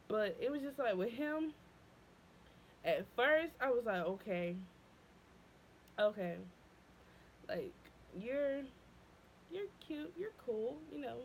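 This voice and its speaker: A young woman, telling a personal story to camera in a casual, animated way, jumping high when she quotes herself and dropping low and quiet when upset. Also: a little hiss or hum, rough recording. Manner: animated, voice leaping high when quoting her past self. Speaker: vlogger.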